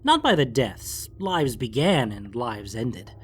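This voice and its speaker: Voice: mid-range voice, sing-song, takes it slowly, breathy edge. A narrator reading a scripted fantasy story for an audiobook.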